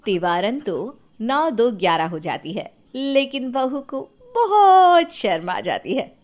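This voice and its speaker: A woman narrating a scripted story in an expressive, playful and dramatic way. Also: on the high side, takes it slowly.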